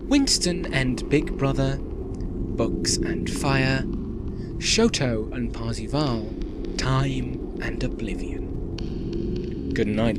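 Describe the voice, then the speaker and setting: Guy doing a sinister small town radio presenter, slow dramatic pauses, radio play with guitar music behind. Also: medium pitch. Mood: sinister, solemn. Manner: theatrical, measured delivery with dramatic pauses. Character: cheerfully sinister male radio host of a dystopian town. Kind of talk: scripted radio play in the manner of an eerie community radio show.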